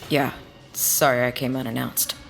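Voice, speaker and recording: Echoing, low-voiced, blunt smuggler woman voiced by an actress. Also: little pitch movement, moderate pace, gravelly. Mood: tense, earnest. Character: earnest, defiant working-class woman smuggler on the run. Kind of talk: scripted audio drama acting. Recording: long reverb, faint rumbling background noise.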